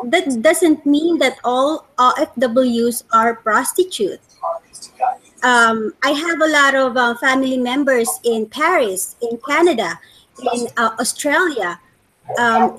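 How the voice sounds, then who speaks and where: A woman's voice: sits high, lively intonation, slow pace, fairly bright tone, low-quality recording. A woman from the Philippines with a Filipino accent, explaining her view in a casual online debate and repeating phrases for emphasis. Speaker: discussion moderator. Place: online group voice call.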